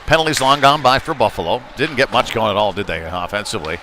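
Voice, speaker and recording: Male hockey play-by-play commentator, conversational and folksy, recorded amid clear arena noise. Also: on the low side, quick pace, pushed and bright. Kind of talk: live youth hockey game commentary. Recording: noisy rink ambience behind voice.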